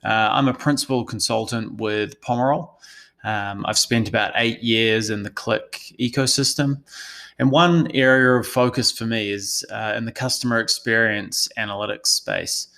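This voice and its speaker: Low-voiced New Zealand man presenting. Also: fairly even intonation, moderate pace, gravelly, bright, forceful tone. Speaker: analytics consultant presenting a session. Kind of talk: prepared webinar presentation.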